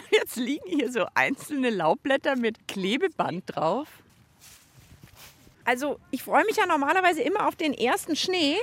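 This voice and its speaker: A woman co-hosts a children's radio show outdoors in a park. Her voice sits a little high, and she chats casually in a cheerful, wry way, thinking aloud as she goes. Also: very animated, unhurried, a bit bright. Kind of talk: casual on-location radio chat.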